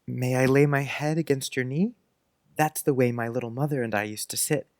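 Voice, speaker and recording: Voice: medium pitch, steady tone, fairly quick, a little mellow, no echo, natural voice. An actor playing an earnest, precocious young schoolboy who chats dreamily in quaint grown-up phrases, in a dramatized audiobook. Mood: fond, chatty. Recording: music under the voice.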